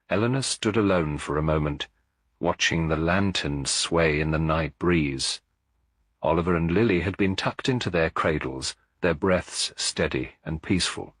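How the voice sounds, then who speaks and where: Deep-voiced man narrating a mystery audiobook. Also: a bit bright, dry recording, studio quality. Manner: measured, literary storytelling.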